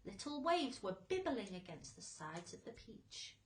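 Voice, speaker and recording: A woman's voice: medium pitch, big pitch swings, unhurried, echoey room, slight background noise. A woman, a teacher, reading a children's storybook aloud with dramatic, emphatic expression.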